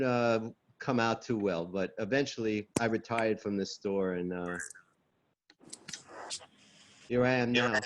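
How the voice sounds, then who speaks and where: Older Long Island man on lo-fi call. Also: medium pitch. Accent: Long Island New York. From Long Island, New York, USA. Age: 60s or older. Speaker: co-host of an online spiritual study group. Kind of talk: casual group video-call introduction. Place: online video call. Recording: dull lo-fi video call audio.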